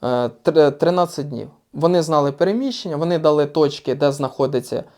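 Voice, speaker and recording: Ukrainian soldier, man, warm low-key delivery, swears and hesitates. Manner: casual, profane, halting with fillers. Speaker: soldier recounting an operation. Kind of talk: interview recollection.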